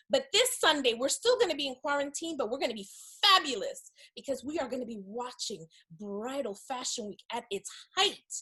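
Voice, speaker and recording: Husky, gushing woman magazine interviewer. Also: high-pitched, pushed and bright, rough recording. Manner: effusive, gushing with compliments. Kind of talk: enthusiastic video interview.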